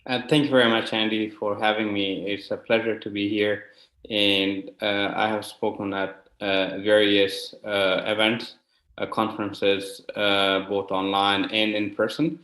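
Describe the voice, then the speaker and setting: Low, even-voiced man, halting delivery. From Afghanistan. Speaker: interview guest. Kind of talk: conversational personal account. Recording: roomy, echoey sound.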